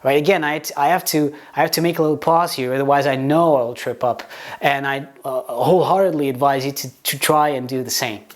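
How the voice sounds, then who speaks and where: A man whose first language is Portuguese, speaking English with a Portuguese accent, teaching pronunciation in a video lesson and demonstrating words slowly. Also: mid-range voice, fairly even intonation. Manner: explains with examples, slow deliberate demonstration words, some stammered restarts.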